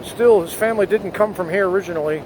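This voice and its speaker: Motovlogger, male, high voice, earnest and fervent, helmet mic with wind noise. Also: quick pace. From United States. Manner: earnest, rising to patriotic fervor. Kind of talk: spoken essay to camera. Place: on a motorcycle outdoors. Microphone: helmet headset mic.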